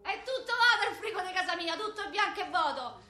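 A woman playing a brash, wounded, childlike working-class Roman street girl in a theatrical monologue, with a slangy, repetitive, excitable delivery and a Roman accent. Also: extremely high pitch, fast, exaggerated voice. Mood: subdued, dreamy. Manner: slangy, repetitive, excitable, acting out many people.

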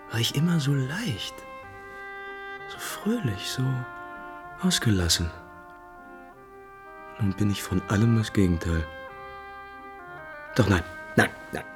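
A man playing an impulsive, refined, lovesick prince in 1950s German radio drama, theatrically shifting between musing and brisk command. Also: fairly low pitch, lively intonation. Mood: wistful, agitated. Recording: 1950s radio recording with music behind.

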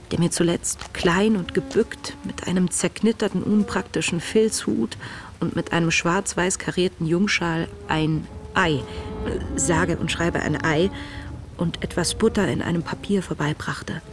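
Hushed, low-voiced young woman diarist. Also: fairly even intonation, a little slow. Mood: earnest, tender. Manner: hushed, reflective. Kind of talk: intimate diary narration in radio drama.

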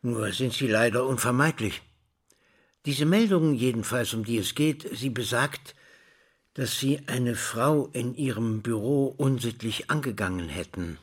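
An actor playing a pedantic school director in a theatrical drama, speaking in formal, wordy, deliberate phrases. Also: male voice, mid-range voice, husky. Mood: formal, grave. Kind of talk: theatrical drama dialogue and monologue.

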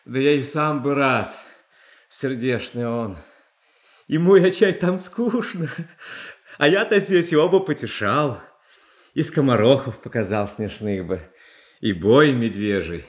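An actor playing a gentle, childlike young tsar in a radio tragedy, halting and earnest, often repeating himself. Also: male voice, mid-range voice, lively intonation, lightly acted. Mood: wistful. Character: gentle, anxious, kind-hearted young tsar, childlike and pleading. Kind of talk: Russian stage tragedy as radio play.

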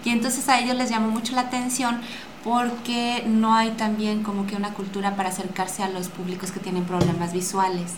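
A woman's voice: medium pitch, flat delivery, brisk. A woman with a Mexican accent speaking in a chatty, informal way as a guest in an interview. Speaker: radio drama maker and guest. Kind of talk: casual interview talk. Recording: echoey room with clear background noise.

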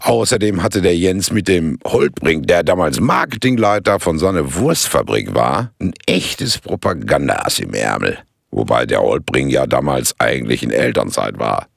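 Radio play narrator: chatty, wry Ruhr local, extremely low gravelly voice, swinging intonation. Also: male voice, animated, moderate pace, dry recording, clean studio sound, heavily acted. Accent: Ruhr area German. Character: chatty, wry local man telling the town's story.

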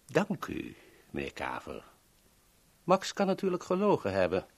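An actor playing a courteous, coolly menacing villain in a 1970s Dutch radio drama, speaking formally and politely. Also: male voice, a little high, wildly swooping pitch, unhurried, husky, heavily acted. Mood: polite threat.

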